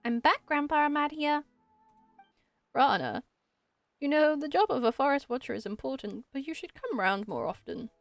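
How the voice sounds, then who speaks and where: A woman's voice: sits high, lively intonation, normal speed, dry recording, faint music behind. A woman working as an amateur voice actor, performing character lines from a fantasy game. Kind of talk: character dubbing of game dialogue.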